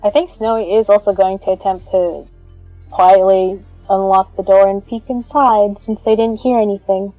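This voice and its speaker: A woman's voice: medium pitch, fairly even intonation, normal speed, no room sound, phone-quality sound. A woman playing a tabletop fantasy game, casually explaining what her character does and says. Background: music.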